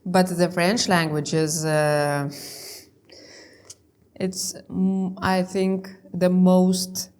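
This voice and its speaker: Slow, low-voiced Lithuanian woman interviewer. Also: little pitch movement, darkish tone, smooth. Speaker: interview host. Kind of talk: casual interview chat in English.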